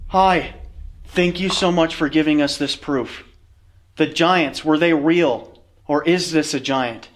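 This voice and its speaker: A man's voice: a little high, takes it slowly. A young man hosting a ghost-hunting vlog inside a cave, talking casually and earnestly with some swearing. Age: young adult. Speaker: paranormal investigator host. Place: inside a dark cave at night.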